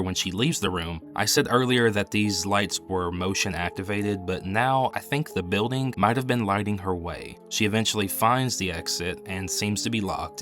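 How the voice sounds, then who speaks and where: A man's voice: fairly low pitch, fairly bright tone. A man delivering scripted analytical narration as the host of a horror review video.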